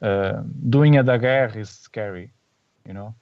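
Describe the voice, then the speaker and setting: A man's voice: lowish voice, recorded from a distance, dull audio. A man from Portugal with a Portuguese accent, a photographer guest who often hunts for words and restarts his sentences. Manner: searching for words, halting restarts. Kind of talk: casual podcast interview.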